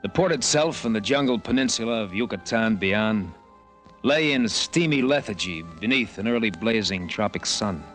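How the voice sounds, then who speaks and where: Wry, low-voiced American adventurer pilot. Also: male voice, animated, normal speed, a bit bright. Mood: wry narrating. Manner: wry, laconic, wisecracking. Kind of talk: old-time radio drama acting with hard-boiled first-person narration. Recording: vintage radio recording, music behind.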